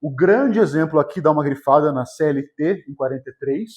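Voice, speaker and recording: A cram-course classroom where a Brazilian man from São Paulo state, voice a little on the high side, explains things casually and keeps checking that students follow. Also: expressive, fairly quick, a little mellow. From São José dos Campos, Vale do Paraíba, Brazil. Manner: colloquial, often checking in with short tag questions. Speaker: history teacher. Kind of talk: informal exam-prep lecture.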